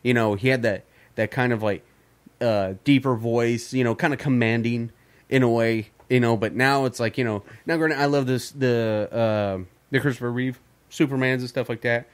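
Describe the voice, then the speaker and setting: A man's voice: mid-range voice, fairly even intonation, moderate pace, clean tone. Rambling, laid-back male podcast co-host. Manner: rambling, lots of "you know" fillers, swears casually. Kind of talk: casual podcast chat.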